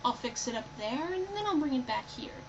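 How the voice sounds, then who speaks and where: A woman voicing a sly, gloating male monster from a storybook, posing as Santa with a hushed, scheming delivery. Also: high-pitched, sing-song, brisk. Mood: falsely sweet. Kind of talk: storybook character voice. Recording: dull, lo-fi, loud background noise.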